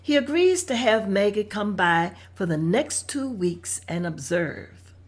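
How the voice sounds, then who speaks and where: A woman's voice: medium pitch, lively intonation, measured pace. A woman reading out scene directions at a script table read in a plain, descriptive manner.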